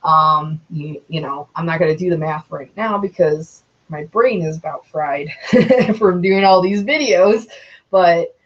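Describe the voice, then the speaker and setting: Twenty-something woman from chatting to camera, slow and rambly, muffled lo-fi audio. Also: on the low side. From Metro Detroit, Michigan, USA. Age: 20s. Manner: rambling, many ums and you knows. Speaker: YouTuber. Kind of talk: casual vlog talk. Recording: dull lo-fi sound.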